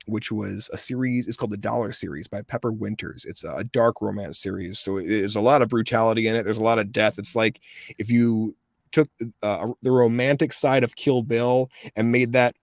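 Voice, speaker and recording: A man's voice: lowish voice, normal speed, vocal fry, no echo, clean studio sound. A man in his thirties, a voice actor telling stories in a casual interview and acting out the voices he quotes. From USA. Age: 30s. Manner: animated storyteller, acts out quoted voices. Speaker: voice actor guest.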